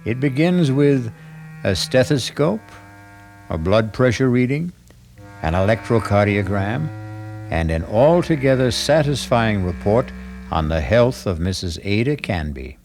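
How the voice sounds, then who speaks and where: A man hosting an old-time radio mystery, narrating in a deliberate, theatrical scripted style. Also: on the low side, lively intonation, a little mellow, faint music behind. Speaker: radio drama host and announcer.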